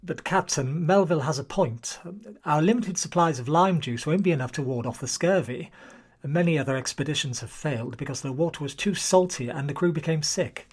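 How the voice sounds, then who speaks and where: An actor voicing an experienced, cautious ship's officer in a radio play, calm and respectful. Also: mid-range voice, fairly quick, breathy edge, lightly acted. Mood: reasoned. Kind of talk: amateur radio drama acting.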